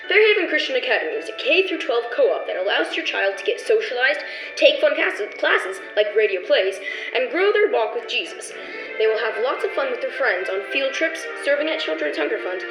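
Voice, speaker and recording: High-voiced woman radio announcer over music. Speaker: announcer of a sponsor message. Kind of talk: scripted old-time radio commercial read. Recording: roomy recording over music.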